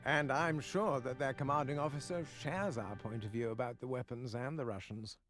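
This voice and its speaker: An actor playing a shrewd, earnest army officer, giving measured briefings in a video game cutscene. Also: male voice, medium pitch, fairly bright tone, faint music behind, lightly acted. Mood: measured, resolute.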